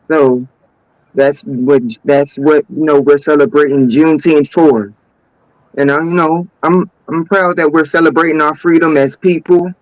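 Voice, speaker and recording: A man with a fairly high voice co-hosts a casual talk show. He speaks at an unhurried pace and often repeats words, in a very dry, close sound. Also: fairly even intonation, no room sound, rough recording.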